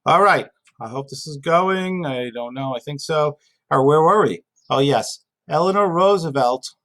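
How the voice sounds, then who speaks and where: A man's voice: a little high, measured pace, a bit bright. A man giving an informal lesson as a teacher, conversational, asking and answering his own questions and repeating key phrases. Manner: conversational, asks and answers own questions, emphatic repetition, casual asides. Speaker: GED reading teacher. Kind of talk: informal spoken lesson, explaining history and reading a passage aloud.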